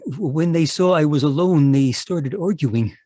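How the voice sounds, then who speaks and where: An actor playing a timid, anxious runaway husband in an old-time radio drama, stammering and hesitant. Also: male voice, on the high side, normal speed, warm tone, no room sound, close to an everyday voice. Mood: nervous. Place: live online performance over video call.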